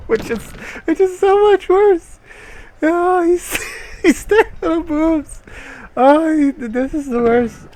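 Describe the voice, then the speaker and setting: A man's voice: extremely high pitch, slow, no room sound. A man reading a story aloud as a streamer and breaking off for irreverent, sweary commentary. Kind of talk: dramatic reading with mocking live commentary.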